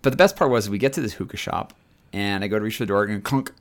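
Low, scratchy-voiced man telling stories. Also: fast talker. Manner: rambling, chatty storytelling. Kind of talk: casual chat.

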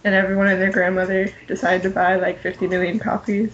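A woman's voice: medium pitch, flat delivery, fast talker, a little hiss or hum. A woman talking casually as a podcast panelist over dull, lo-fi audio. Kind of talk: casual chat.

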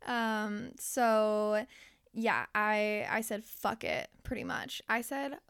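A woman's voice: medium pitch, steady tone, slow, a bit bright, smooth, no echo, studio quality. A young woman podcast host and life coach who is losing her voice. She talks candidly and swears freely as she speaks straight to her listeners. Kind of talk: casual motivational podcast monologue.

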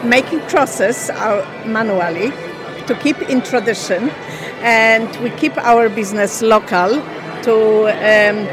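A woman from Poland explains her traditional crafts with slow, careful storytelling. Her voice sits somewhat high. Music plays clearly behind her in a busy exhibition space. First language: Polish. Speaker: craft business owner. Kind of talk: radio interview at an exhibition.

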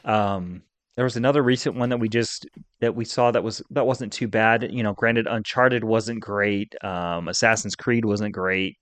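A man's voice: fairly low pitch, fairly even intonation, normal speed, fairly bright tone, no room sound. A man hosting a podcast in casual chat, giving emphatic, opinionated takes with plenty of enthusiasm. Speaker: podcast host.

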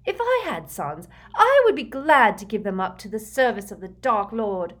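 A woman voicing a haughty, ruthless, fanatical witch in an audiobook, proud and forceful. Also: high voice, wildly swooping pitch, measured pace, voice pushed up, heavily acted.

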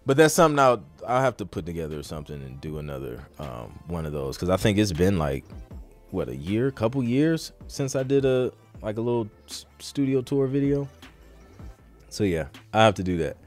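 A man's voice: on the low side, very animated, normal speed. A man hosting a casual livestream Q&A about music production, talking in an informal, slangy, conversational way. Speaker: podcast host and music producer.

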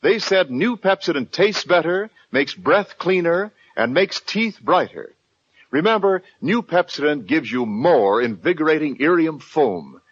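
A man's voice: fairly high pitch, animated, a little slow, husky, dry recording. An American man announcing in polished, emphatic 1940s radio salesman style. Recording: muffled old 1940s radio recording, music behind.

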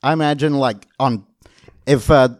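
A man co-hosting a live comedy podcast on stage, tossing in quick jokes and laughing. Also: medium pitch, no room sound, clean studio sound. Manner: quick jokey asides, laughs often. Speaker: podcast co-host.

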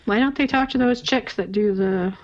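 A woman co-hosts a casual fan podcast, adding wry, laughing asides to the conversation. Her voice comes through a dull, lo-fi recording that lacks brightness. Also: a little high, normal speed. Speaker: podcast co-host. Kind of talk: casual fan discussion chat. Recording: dull lo-fi sound.

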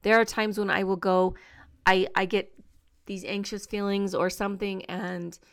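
A woman's voice: medium pitch, level tone, normal speed, smooth. A woman hosting a solo podcast, talking to listeners in an earnest, upbeat, encouraging way, with plenty of fillers. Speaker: podcast host.

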